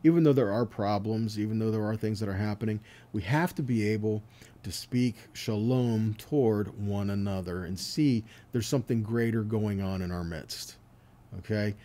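A man's voice: lowish voice, moderate pace, dark tone. A man giving a Bible teaching, reading verses and explaining them earnestly, often checking in with his listeners. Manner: earnest, explanatory, with check-in tags like "okay?" and "right?".

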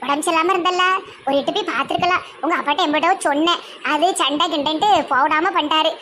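A woman's voice: sits high, steady tone, fast, bright, forceful tone, a little hiss or hum. A woman playing a superstitious, scolding mother in a comic family skit, nagging and lamenting in a southern Tamil Nadu accent. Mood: dismayed, complaining.

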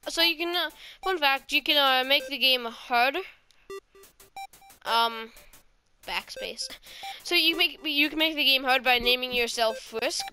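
High, bright-voiced female gamer. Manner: rambling, frequently swearing. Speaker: gaming video host. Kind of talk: casual let's play commentary.

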